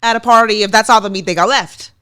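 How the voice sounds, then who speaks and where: A woman's voice: medium pitch, fast, a bit bright, no room sound, clean studio sound. A young adult woman with a Mexican American accent from Los Angeles, co-hosting a podcast in casual chat and ranting bluntly with lots of swearing. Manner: blunt, profane, exasperated rants. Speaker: podcast co-host.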